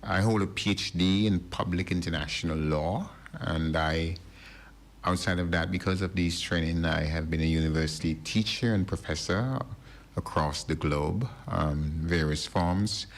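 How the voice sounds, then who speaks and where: A middle-aged man from Barbados, a radio studio guest, speaking in a measured, formal and polite way. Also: low-pitched. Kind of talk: radio interview.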